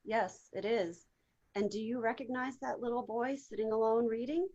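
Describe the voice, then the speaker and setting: A woman playing a calm, firm spirit guide in an amateur table read of a Christmas ghost story. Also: a little high, normal speed, highs cut off.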